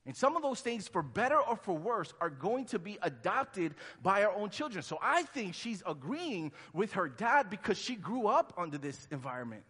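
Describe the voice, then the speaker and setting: A man's voice: high voice, sing-song, moderate pace, few pauses, bright, forceful tone, breathy edge. A man from Honduras, a pastor in his thirties, preaching a sermon in a conversational way and acting out the quotes he tells. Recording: long hall reverb.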